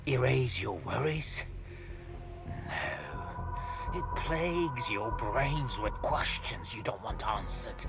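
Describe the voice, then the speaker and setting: An actor playing a grave, secretive old male leader in a game, speaking in a breathy, measured, ominous way. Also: fairly low pitch, exaggerated voice. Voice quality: breathy, whispery. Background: music.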